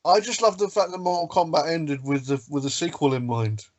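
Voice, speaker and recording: A man with a fairly high, very animated voice. He talks casually with friends as a podcast co-host, jumping in to protest. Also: a little fast. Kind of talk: casual group chat.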